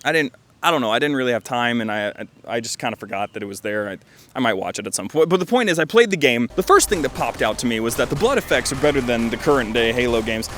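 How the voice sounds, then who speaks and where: A man's voice: medium pitch, lively intonation, quick pace, a bit bright, a little hiss or hum. A man giving casual, rambling video commentary as he reviews games, with dry joking asides and frequent self-interruptions. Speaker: video creator reviewing fan-made games.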